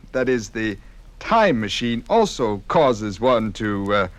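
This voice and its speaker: Apologetic inventor professor, vintage radio drama, halting speech, lively pitch, dry close sound. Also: male voice, no room sound. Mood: hesitant, apologetic. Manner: hesitant, stammering, repeats himself. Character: flustered, apologetic professor, inventor of a time machine. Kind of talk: 1950s radio drama acting.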